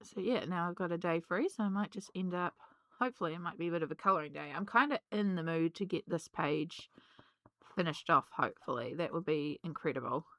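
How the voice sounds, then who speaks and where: A woman, slightly hoarse from a cold, chatting casually and rambling with many ums while she colours. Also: lowish voice, fairly quick, fairly bright tone, dry recording. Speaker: colouring vlogger.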